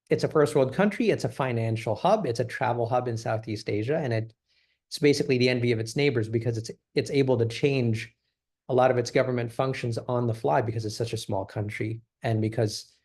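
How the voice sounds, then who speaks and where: A man presenting as an English teacher, speaking in an explanatory way with frequent pauses and restarts. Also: medium pitch, vocal fry, a little mellow. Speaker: ESL teacher giving a presentation. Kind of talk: recorded class presentation.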